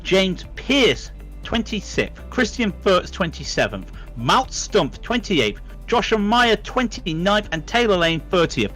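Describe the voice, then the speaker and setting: Guy commentating a sim race live, kinda high voice, lots of uhs while doing the maths. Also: big pitch swings, slow pace, a bit bright, faint music behind. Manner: works out numbers aloud with many fillers.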